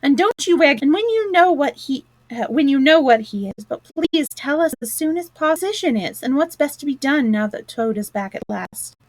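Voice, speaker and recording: A woman's voice: high voice, animated, normal speed, hardly any pauses, no room sound, pitched above the performer's own voice, poor audio. Woman voicing a sensible pleading rat. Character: sensible anxious male water rat.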